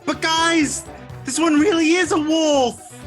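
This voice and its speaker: A woman voicing the grown-up boy who cried wolf, shouting his warnings. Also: steady tone, takes it slowly, faint music behind. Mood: insistent. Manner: shrill, insistent shouting. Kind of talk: amateur radio play acting.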